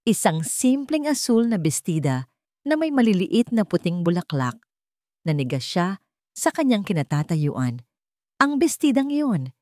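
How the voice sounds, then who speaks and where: Woman narrator, lower-pitched, lively Filipino delivery. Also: unhurried, no echo, studio quality. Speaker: story narrator. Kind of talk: scripted dramatic story narration in Tagalog.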